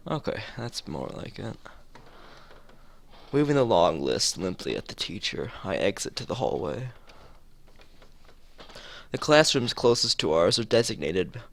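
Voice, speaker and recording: A man's voice: fairly low pitch, gravelly. A man reading visual novel text aloud as a streamer, sometimes stumbling and rereading phrases.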